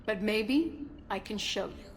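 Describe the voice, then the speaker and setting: A woman's voice: medium pitch, animated, unhurried, a little hiss or hum, lightly acted. A woman voicing a driven, stubbornly brave civilian in a video game, speaking earnestly and insistently.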